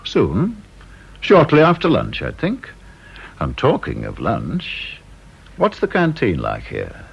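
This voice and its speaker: A caustic English board chairman in a radio play, gravelly and swooping widely in pitch. He is officious and sardonic, leaning on his words with relish, on a dull old recording. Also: huge pitch swings, slow pace, heavily acted. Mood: breezy authority. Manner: sardonic, officious, drawling emphasis. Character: caustic, domineering male chairman of an interview board. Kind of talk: British radio drama acting.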